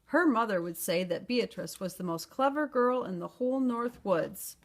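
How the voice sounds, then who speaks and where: A woman storyteller reading a children's picture book aloud in an expressive narrating style. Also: on the high side, moderate pace.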